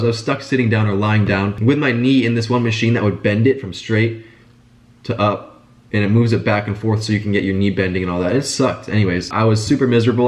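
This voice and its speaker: Fast-talking young man with a stuffy nose. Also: lowish voice. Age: young adult. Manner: fast, chatty, informal. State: congested, sick. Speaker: business vlogger. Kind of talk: casual vlog storytelling.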